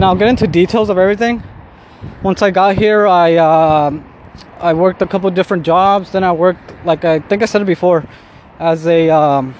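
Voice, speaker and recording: A man's voice: sits high, a little mellow. A man in his thirties, born in Mexico and raised in Southern California, talking to himself in a loose, rambling way with lots of fillers while walking home. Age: 30s. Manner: rambling, frequent fillers and restarts, self-deprecating. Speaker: vlogger. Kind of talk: casual walking monologue. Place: outdoors on a street, walking home. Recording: outdoor street ambience with traffic. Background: faint street and traffic noise.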